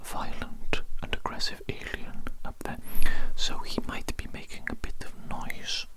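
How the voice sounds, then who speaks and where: Breathy whispering ASMR doctor roleplayer. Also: a little slow. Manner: gentle, reassuring, repeats phrases. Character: polite, reassuring doctor in an alien laboratory. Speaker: ASMR performer roleplaying a doctor examining an alien. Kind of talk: whispered ASMR roleplay, softly narrating an examination. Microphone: close ASMR microphone.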